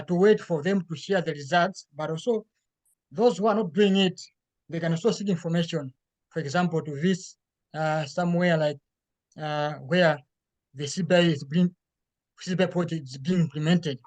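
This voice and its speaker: A man with a slightly raised pitch delivers a workshop report over a video call. He talks in a halting way, pausing on fillers and restarting his phrases. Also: rough recording. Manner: hesitant, many fillers and restarts. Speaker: workshop participant reporting back. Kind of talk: spoken group report.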